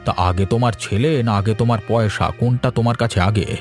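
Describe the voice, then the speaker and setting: Male actor as animated village doctor, grave, stern, authoritative, mid-pitched voice, faint music. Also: fast, lightly acted. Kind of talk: Bengali animated cartoon story dialogue.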